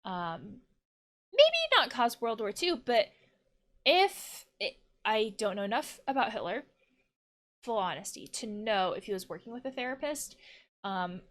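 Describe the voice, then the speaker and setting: A woman's voice: on the high side, big pitch swings, measured pace, fairly bright tone. A woman giving casual let's play commentary as a therapist, thinking aloud in a reflective way. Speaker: therapist playing and commenting on a video game.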